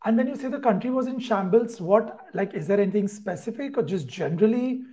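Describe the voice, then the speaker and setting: High-voiced podcast host man. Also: lively intonation, brisk, dark tone. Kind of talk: podcast interview hosting. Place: home room indoors at night.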